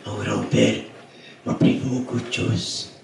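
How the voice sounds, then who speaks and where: An older man tells family stories on a theatre stage, his voice raspy and half-whispered since throat surgery. He speaks very slowly, pausing to find his words, and his voice is low and gravelly. The sound comes from a distant mic in a roomy, echoing hall. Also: flat delivery, darkish tone. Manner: slow, halting, searches for words. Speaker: circus director, interviewee. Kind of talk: storytelling on stage. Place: theatre stage before an audience. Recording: echoey hall, distant mic, faint noise.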